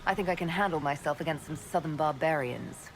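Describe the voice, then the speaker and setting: An actress voicing a young elf mage leader in a video game, dry and composed. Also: female voice, sits low, a little fast, slight background noise, low-quality recording. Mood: resolute. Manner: dry, wry.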